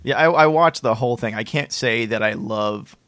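A man's voice: mid-range voice, normal speed, fairly bright tone, dry recording. A man chatting casually as a podcast host interviewing a guest.

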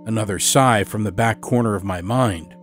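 A man telling a scripted first-person horror story as storyteller, dramatic and expressive. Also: fairly low pitch, moderate pace, soft background music. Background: musical score.

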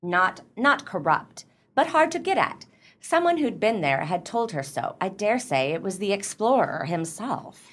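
A woman's voice: mid-range voice, sing-song, a little slow, a bit bright. A woman playing a prim, peevish, bookish clubwoman in a radio play, with a precise and huffy delivery. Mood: flustered.

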